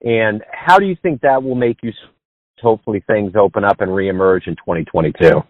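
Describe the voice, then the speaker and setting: A man's voice: fairly low pitch, fairly quick, no room sound. A man hosting a radio interview, asking questions in a friendly, conversational way. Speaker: radio host. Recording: dull lo-fi line.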